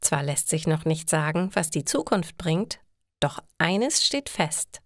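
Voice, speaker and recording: A woman reading a scripted story for language learners in a measured, careful way. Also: low voice, very animated, no echo, clean studio sound. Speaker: narrator.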